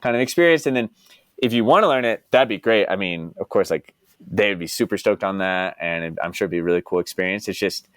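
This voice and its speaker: A young man from Texas talks in a relaxed, meandering way, leaning constantly on "like" and "you know" as he works through his thoughts. His voice sits fairly low and comes across close and dry, with no room sound around it. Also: fast, no room sound. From Dallas, United States. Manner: rambling, heavy use of "like" and "you know". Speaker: podcast guest. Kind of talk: casual interview chat.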